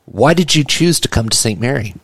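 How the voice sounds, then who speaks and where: A man's voice: mid-range voice, big pitch swings, fairly quick, gravelly, fairly bright tone, breathy edge, no echo. A man reading a list of set questions as an interviewer. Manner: evenly reads set questions.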